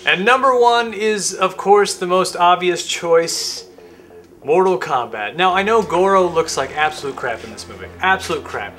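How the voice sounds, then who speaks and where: Higher-pitched man chatting over music. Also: lively intonation, normal speed, fairly bright tone. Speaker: co-host of a video game review show. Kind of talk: casual opinionated movie countdown chat.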